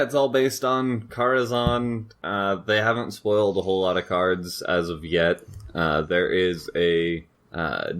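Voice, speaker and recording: A man's voice: lowish voice, fairly bright tone. A man co-hosting a gaming podcast, chatting about news in a wry, laid-back, informal way. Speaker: podcast co-host. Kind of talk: casual gaming news chat.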